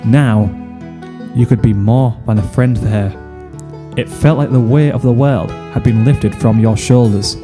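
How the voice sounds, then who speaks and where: A man's voice: mid-range voice, a little slow. A man reading a story aloud as a scripted narration, in the role of fan fiction narrator. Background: music.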